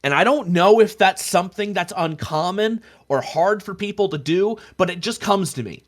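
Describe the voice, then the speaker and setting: A man's voice: high voice, moderate pace. A man co-hosting a casual discussion of tabletop roleplaying, telling stories in an earnest, emphatic way. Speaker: podcast co-host.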